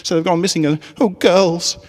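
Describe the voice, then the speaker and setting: A man's voice: high voice, steady tone, normal speed, long reverb tail, pitched above the performer's own voice, close to an everyday voice. A man voicing an anxious, motherly female pony schoolteacher from a story, fretful and earnest. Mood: panicked, distraught.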